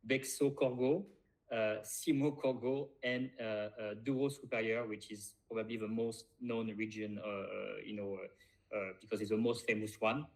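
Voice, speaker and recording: Hesitant low-voiced man. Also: fairly even intonation, normal speed, poor audio. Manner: hesitant, many fillers and restarts. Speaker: podcast co-host. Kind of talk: casual chat.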